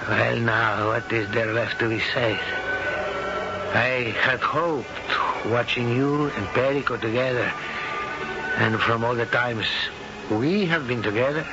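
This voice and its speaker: An actor playing a proud, grieving middle-aged Spanish aristocrat, grave and formal in a 1970s radio drama. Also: male voice, medium pitch, steady tone, slow pace. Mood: sorrowful, dignified. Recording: muffled 1970s radio broadcast with music.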